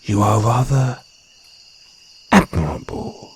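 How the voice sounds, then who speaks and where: A man voicing a male jungle naga, guarded but tender and flirtatious, in an intimate audio roleplay with slow, formal phrasing. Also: mid-range voice, animated, slight background noise. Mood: admiring, teasing. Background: crickets and jungle insect sounds.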